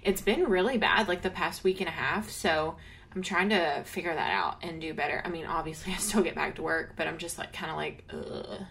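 A woman's voice: sits low, a little fast, vocal fry, fairly bright tone, slight background noise. A young woman co-hosting a casual chat podcast who laughs often and fills her talk with "um" and "like". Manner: giggly, lots of fillers like "um" and "like". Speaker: podcast co-host.

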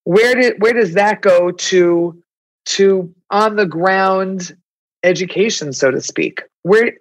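A woman's voice: mid-range voice, slow pace. A woman with a New York accent hosting a podcast, asking wordy, conversational questions that she rephrases as she goes. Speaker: podcast host, interviewer. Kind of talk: conversational interview.

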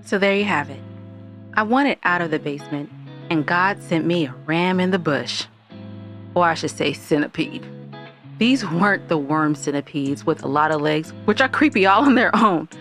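A woman playing an excitable, dramatic young newlywed in an audio drama, in casual storytelling style. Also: deep voice, moderate pace, soft background music. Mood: confiding, wry. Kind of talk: audio drama acting with first-person storytelling.

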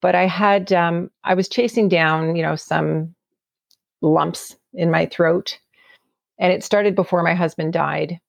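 A woman from Canada speaks with a gravelly, creaky texture, her voice sitting a little low. She tells her story earnestly and from the heart, sometimes doubling back on her words. The sound is close and dry, with no room around it. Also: fairly even intonation, slow, no room sound, highs cut off. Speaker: guest. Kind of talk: reflective personal storytelling.

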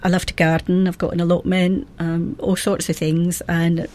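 A woman in her seventies with a soft Scottish Fife accent, chatting as a guest on local radio. Her voice sits a little low, and she pauses often with small ums and laughs between her thoughts. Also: flat delivery. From Scotland. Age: 70s. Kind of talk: radio interview.

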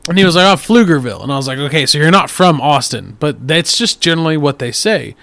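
A man's voice: a little high, expressive, quick pace, vocal fry, a bit bright, no room sound. A man from the Texas Panhandle hosting a podcast, chatting casually and rambling into asides. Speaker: podcast host. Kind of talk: casual chat.

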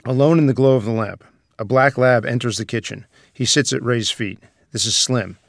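A man's voice: mid-range voice, moderate pace, gravelly, dry recording, studio quality. A man reading scripted stage directions as the narrator of a screenplay table read.

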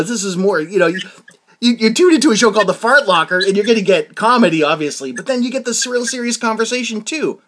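A man's voice: fairly high pitch, a bit bright. A man interviewing a podcast host in a casual, earnest way, often stammering and restarting his sentences.

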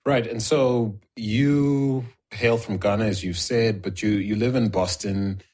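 A male interviewer speaks in a low, slightly husky voice. He asks questions with open curiosity. He keeps the exchange easy and conversational. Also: steady tone, takes it slowly, darkish tone.

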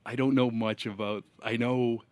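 A man's voice: medium pitch, steady tone, normal speed, darkish tone, no echo. A man hosting a podcast, talking casually with slangy, profane wording in a studio. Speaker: podcast host. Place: podcast studio attached to a bar.

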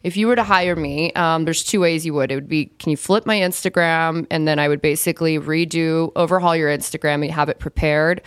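Low raspy woman, slightly hoarse. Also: steady tone, fairly quick, few pauses, dry recording, studio quality. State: hoarse, losing her voice. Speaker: podcast guest, branding coach. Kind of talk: casual chat.

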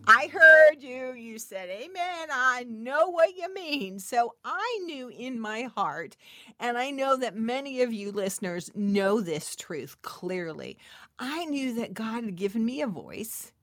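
Casting: middle-aged female inspirational speaker, unhurried delivery, emphatic testimonial style with intimate asides, dry close sound. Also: fairly high pitch, very animated, bright, forceful tone, no echo. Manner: emphatic and testimonial, repeating phrases for weight; intimate, chuckling asides to the listener. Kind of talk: devotional talk addressed to listeners.

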